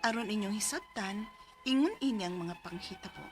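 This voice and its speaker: A woman's voice: mid-range voice, expressive, brisk, music in the background. A young woman in her twenties with a Cebuano accent, narrating and acting her own story in a radio drama, earnest and easily alarmed. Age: 20s. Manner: earnest, then breathless and panicky in scenes.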